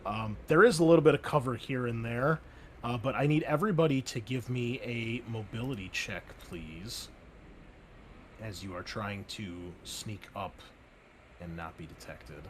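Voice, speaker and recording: A laid-back man running a tabletop game over an online call, describing the scene with plenty of ums and restarts. His voice sits at a middle pitch, with a faint hiss behind it. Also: moderate pace. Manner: relaxed, explaining with many fillers and restarts. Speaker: game master.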